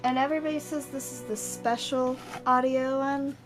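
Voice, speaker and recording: A young woman with a fairly high voice chats informally as a co-host. She chips in with short, unscripted comments, and music plays clearly behind her. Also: fairly even intonation, moderate pace, low-quality recording. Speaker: vlog co-host. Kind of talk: casual chat, short interjections. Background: background music.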